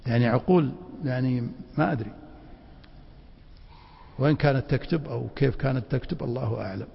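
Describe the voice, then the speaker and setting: A man teaching a class on Islamic law in a mosque, quizzing students with quick rhetorical prompts. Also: medium pitch, gravelly, husky. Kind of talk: classroom lecture with questions to students. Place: mosque study circle. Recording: dull lo-fi recording in a reverberant hall.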